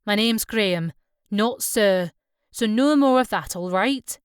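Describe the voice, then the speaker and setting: A woman voicing a steady, fair-minded Scottish skipper in his mid-thirties, direct and reassuring, in an audiobook. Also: mid-range voice, slow, clean tone, no room sound, clean studio sound. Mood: firm. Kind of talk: audiobook character dialogue.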